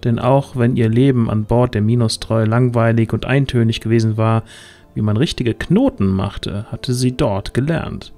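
A man's voice: mid-range voice, moderate pace, warm tone. A man reading scripted narration as the storyteller of a fantasy audio drama. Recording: music under the voice.

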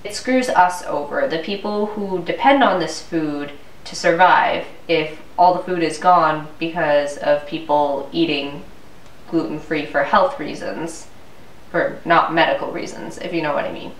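A woman's voice: deep voice, unhurried, slight background noise. Stuffy-nosed young woman ranting. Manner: chatty, exasperated ranting. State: stuffy, congested from a cold. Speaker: vlogger. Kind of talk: casual ranting vlog. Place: living room at home, indoors. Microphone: camera mic from a few feet away. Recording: roomy, distant camera audio.

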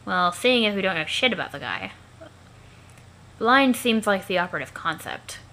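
A woman's voice: medium pitch, big pitch swings, moderate pace, pushed and bright. A woman playing a cocky, sweary teenage alien gamer with a casual, sardonic manner, in an amateur webcomic reading.

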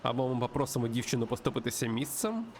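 A man reading a visual novel aloud as a let's play host, in an expressive storytelling manner. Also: medium pitch, fast, a little hiss or hum. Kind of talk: narrated visual novel playthrough reading with asides.

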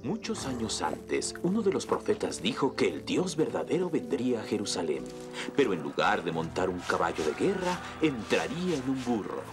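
A man's voice: lowish voice, big pitch swings, normal speed, music in the background. A man voicing an earnest adult storyteller in a children's cartoon, narrating with dramatic expression.

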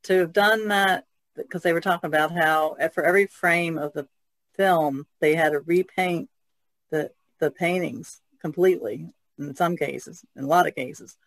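Man on a podcast, kinda higher gravelly voice, thinks out loud, dry mic. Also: normal speed, no room sound. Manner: thoughtful, trailing off, laughs softly. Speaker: podcast guest artist. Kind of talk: casual discussion.

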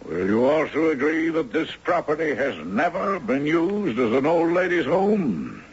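A very husky old lawyer in a vintage radio play. He is shrewd and dogged, with formal, theatrical phrasing and a lively rise and fall. The recording is dull. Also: on the high side, unhurried, exaggerated voice. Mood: probing, insistent. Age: older. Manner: theatrical, formal courtroom cross-examination. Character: shrewd, dogged elderly retired judge turned lawyer. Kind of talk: 1970s radio drama acting.

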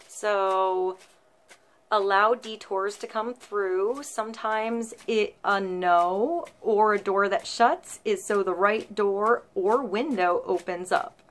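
A woman in her thirties reads tarot cards straight to camera in a small indoor room. She talks in a flowing, reassuring way, full of little ums and likes, and her pitch sits right in the middle for a woman. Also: slow pace. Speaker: tarot reader. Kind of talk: intuitive tarot reading to camera. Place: small indoor room, seated before a painted wooden backdrop.

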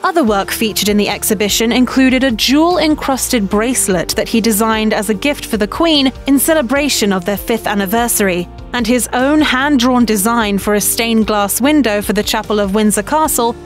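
A woman reading a scripted documentary narration in a brisk, even storytelling manner. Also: fairly high pitch. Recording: voice-over with soft background music.

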